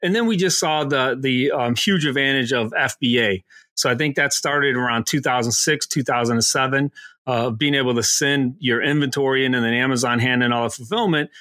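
A middle-aged man from Illinois answering interview questions in a relaxed, easygoing way. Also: medium pitch, brisk, dry recording. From Aurora, Illinois. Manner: relaxed, easygoing, laughs along. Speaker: guest, Amazon seller.

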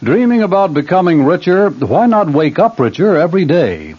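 A man's voice: high voice, sing-song, moderate pace, a little hiss or hum. A man reading a scripted 1970s radio advertisement as an announcer. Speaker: commercial announcer. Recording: dull lo-fi 1970s radio recording.

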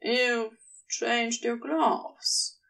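A woman voicing a bleary, wry married man in a story, speaking slowly and haltingly. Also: fairly high pitch, fairly even intonation, creaky, fairly bright tone, distant mic, exaggerated voice, poor audio. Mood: bleary, amused.

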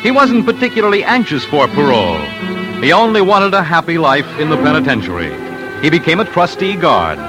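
A man's voice: a little high, big pitch swings, brisk. A man delivering dramatic scripted narration for an old-time radio documentary. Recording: old radio broadcast with music.

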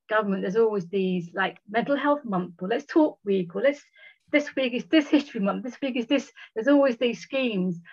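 A woman who stammers, talking haltingly in a casual conversation with repeated words. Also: medium pitch. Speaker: guest sharing experience. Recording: dull lo-fi recording.

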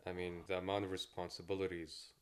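A man's voice: low voice, flat delivery, quick pace. A man in his twenties from Kuwait, a podcast guest talking in an easygoing, laid-back way. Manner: easygoing, laid-back, with frequent fillers and short laughs. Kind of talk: casual interview chat.

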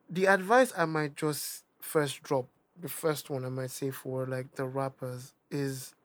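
A young Nigerian man with a Nigerian accent, a rapper talking casually in an interview, animated and emphatic, often repeating himself. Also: medium pitch. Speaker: rapper guest.

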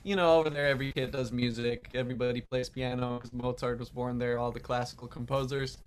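A man's voice: medium pitch, flat delivery, fast talker, smooth. A young man from Vienna, Austria, chatting casually as a guest musician and producer. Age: young adult. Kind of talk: casual podcast chat.

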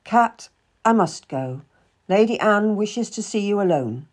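A woman's voice: mid-range voice, lively intonation, a little slow. A woman reading an audiobook, voicing a male courtier who speaks firmly and courteously. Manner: firm, courteous.

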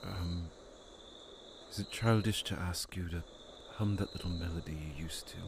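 A low, creaky-voiced younger brother from a fantasy drama, played by a man. He sounds worn out and forgiving, with a stubborn edge. His words come slowly over noisy cricket ambience. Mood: sheepish, drowsy. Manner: weary, sighing, mildly stubborn. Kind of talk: fantasy audio drama acting.

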